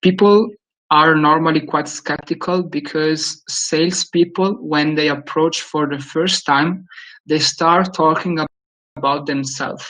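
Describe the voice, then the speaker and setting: A man from Italy with a strong Italian accent, speaking English as a guest speaker in a webinar Q&A, with frequent pauses and restarts. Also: a little high, fairly even intonation, fairly bright tone, poor audio. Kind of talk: webinar Q&A answer.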